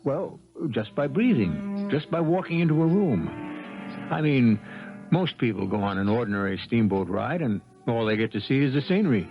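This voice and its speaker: Gravelly guy hosting old radio mystery show, super animated, muffled sound, music behind. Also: medium pitch, moderate pace. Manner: dramatic, wry. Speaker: host of radio mystery series. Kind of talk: theatrical 1970s radio host narration. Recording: muffled vintage radio broadcast.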